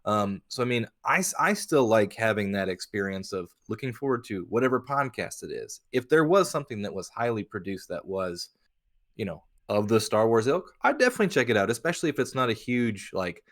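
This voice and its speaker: Lively man co-hosting a casual podcast. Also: lowish voice, a little fast. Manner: joking, conversational. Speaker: podcast co-host.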